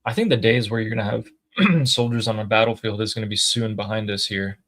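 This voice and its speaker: A man co-hosting a live stream, reading viewer messages aloud and thinking out loud in a casual, chatty way. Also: on the low side, fairly quick. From United States. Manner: chatty, thinks aloud with fillers and throat clearing. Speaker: co-host reading viewer super chats.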